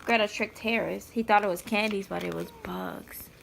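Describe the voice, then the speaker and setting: A woman's voice: medium pitch, normal speed, vocal fry, a bit bright, slight background noise. A woman reading a children's picture book aloud in an informal way, with chatty asides to a small child. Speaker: mother reading aloud to a child, vlog host. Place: indoors, sitting on the floor.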